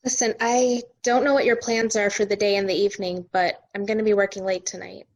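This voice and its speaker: A woman's voice: medium pitch, level tone, brisk, a little mellow, a little hiss or hum, natural voice. Woman as a cool, curt working wife. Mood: guarded, dismissive. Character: cool, busy corporate lawyer wife, guarded. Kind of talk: naturalistic table read of a TV drama.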